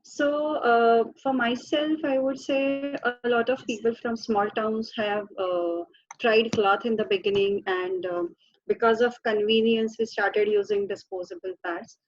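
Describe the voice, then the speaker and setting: A woman panelist in an online workshop, speaking carefully and in a measured way. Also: fairly high pitch, fairly even intonation, dry recording, dull audio. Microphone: video call.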